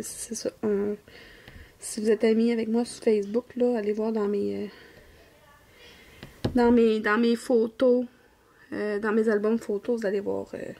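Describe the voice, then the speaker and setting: A woman's voice: mid-range voice, creaky, warm tone. A woman in her thirties with a Québécois French accent, chatting casually to viewers while she crafts and rambling, self-correcting, and often trailing off into a near whisper. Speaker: diamond painting vlogger.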